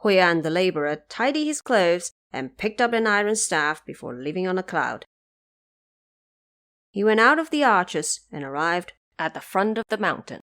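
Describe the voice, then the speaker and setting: Measured woman narrating an audio drama. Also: lowish voice, very animated, fairly bright tone.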